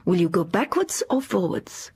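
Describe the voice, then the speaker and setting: A woman playing a shrewd, commanding middle-aged headmistress with a French accent, clipped and measured, in a period radio drama. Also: fairly low pitch, big pitch swings, darkish tone, husky, exaggerated voice. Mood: stern, challenging.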